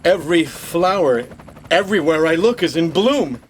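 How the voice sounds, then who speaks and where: A man playing an excitable, awestruck spaceship captain in a science-fiction audio drama, with dramatic, emphatic delivery. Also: a little high, moderate pace, slight background noise, lightly acted.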